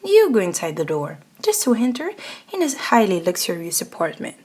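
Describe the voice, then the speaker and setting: A woman's voice: mid-range voice, huge pitch swings, moderate pace, a little mellow. A woman narrating a scripted ASMR roleplay story, addressing the listener directly. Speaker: narrator and voice actor.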